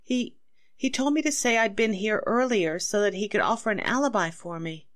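A woman playing a distraught accused wife in 1940s radio melodrama, halting, anxious and pleading. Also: medium pitch, lightly acted.

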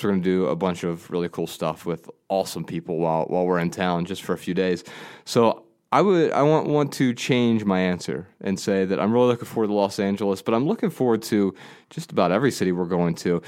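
A man's voice: fairly low pitch, brisk, a little mellow, smooth. A man in his thirties co-hosting a podcast in casual chat, his voice a little nasal from a cold, rambling with restarts and fillers. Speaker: podcast co-host.

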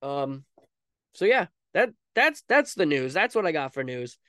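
A man's voice: fairly high pitch, big pitch swings, measured pace, sharp tone, no echo, clean studio sound. A man hosting a podcast solo in a casual, rambling, self-deprecating and informal way. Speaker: podcast host.

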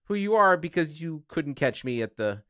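An American man with a mid-to-high voice that swings widely in pitch. He co-hosts a podcast with casual, teasing, comedic chat and some swearing. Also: big pitch swings, moderate pace, sharp tone, dry recording, clean studio sound. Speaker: podcast co-host.

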